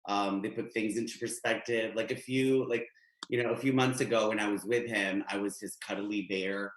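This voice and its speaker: A man in his thirties from Guatemala, doing a chatty, animated stand-up set over a webcam from his home. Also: on the low side, moderate pace. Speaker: stand-up comedian. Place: home room. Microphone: webcam, video call. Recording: echoey home room.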